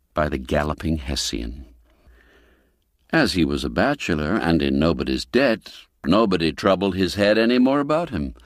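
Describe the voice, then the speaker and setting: A man reading a classic tale aloud in a measured, expressive storytelling manner. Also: on the low side, no echo, clean studio sound. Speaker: narrator. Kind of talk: scripted storybook narration.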